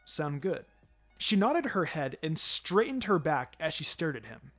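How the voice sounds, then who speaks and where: A man's voice: fairly high pitch, big pitch swings, normal speed, fairly bright tone. A man reading fan fiction aloud as a narrator, in a dramatic scripted storytelling style. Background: music.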